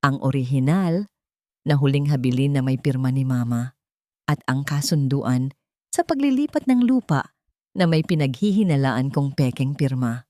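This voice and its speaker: Female narrator for dramatic audio fiction, low register, dry close sound. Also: expressive, moderate pace, warm tone, no echo. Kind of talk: scripted dramatic first-person narration.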